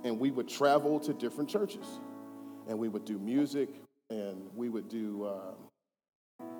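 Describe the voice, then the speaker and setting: Earnest man in a reverberant hall. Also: on the low side. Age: 30s. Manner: earnest and conversational, swinging from hushed to emphatic. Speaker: church speaker giving testimony. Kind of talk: personal testimony. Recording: reverberant hall with faint music.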